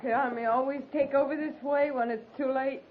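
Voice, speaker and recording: Actress as a bitter young frontier widow, tinny recording. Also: female voice, high voice, steady tone, brisk, background noise, natural voice. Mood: pleading, bitter. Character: bereaved frontier wife in early twenties, worn, stubborn, bitter. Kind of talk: 1950s radio drama acting. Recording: tinny 1950s radio recording.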